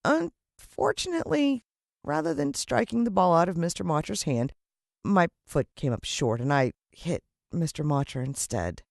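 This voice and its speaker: A woman with an American accent reading a children's story expressively, with dramatic emphasis and deliberate pauses. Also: fairly low pitch, expressive, creaky, a little mellow, no echo, studio quality. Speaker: audiobook narrator.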